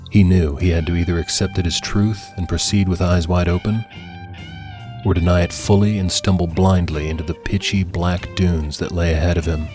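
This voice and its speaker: Low-voiced man narrating dramatic fiction over music.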